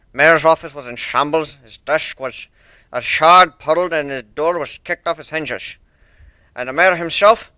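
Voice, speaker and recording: A grizzled old sea dog of a cartoon pegasus, played by a man, stern and deadpan. He talks bluntly with a salty seafaring swagger, forceful and bright in a close, dry recording. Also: a little high, smooth, no room sound, pitched above the performer's own voice. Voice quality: stern, slurred S sounds, rolled R's.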